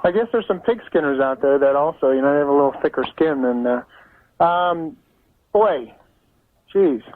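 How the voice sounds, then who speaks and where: A man's voice: a little high, animated, moderate pace, slight background noise. An American man calling a radio show, chatting casually in a polite, agreeable, slightly hesitant way. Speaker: caller. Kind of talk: casual phone-in chat. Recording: tinny phone line on radio.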